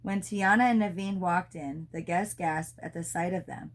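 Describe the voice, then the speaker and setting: A woman's voice: lowish voice, fairly even intonation, normal speed. A woman reading a children's storybook aloud expressively, as a mother does with her child.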